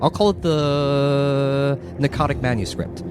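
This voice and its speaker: A man's voice: mid-range voice, fairly even intonation, takes it slowly, background noise. A man acting as game master, narrating a horror tabletop game and slipping into casual improvised asides. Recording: music bed behind voice.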